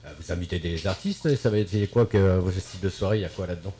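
A man hosting a casual radio interview show, relaxed and chatty. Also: sits low, quick pace, husky. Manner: relaxed, chatty, drops English yeses. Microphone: studio mic.

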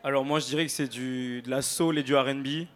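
A man's voice: mid-range voice, steady tone, a little fast. A male singer chatting casually as an interview guest on stage, informal and playful.

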